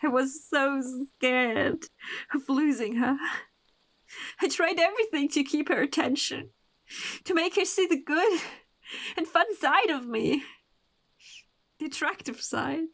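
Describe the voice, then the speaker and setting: A woman's voice: sits high, lively intonation, slow, pauses often, fairly bright tone, full character voice. A woman playing a distraught, obsessive young woman grieving her lover in a horror radio drama, anguished and pleading.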